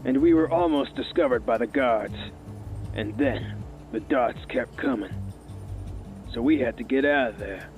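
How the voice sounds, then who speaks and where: A man playing a matter-of-fact agent giving measured testimony through a playback filter in an anime parody audio drama. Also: mid-range voice, a little mellow, soft background music. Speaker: voice actor. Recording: thin phone-like filtered playback.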